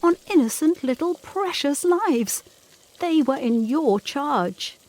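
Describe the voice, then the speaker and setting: A woman's voice: high voice, expressive, slow pace, darkish tone. A woman playing an earnest, anxious young Victorian governess in a gothic audio drama, intense and questioning in formal period diction. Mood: insistent, suspicious. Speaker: actor in an audio play.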